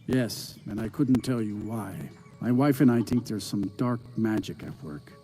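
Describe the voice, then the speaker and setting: An actor playing a modest, plain-spoken farmer in a fantasy video game, talking in a matter-of-fact way with music behind him. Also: male voice, on the low side, fairly even intonation, a little slow, dark tone, natural voice. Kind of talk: video game character dialogue.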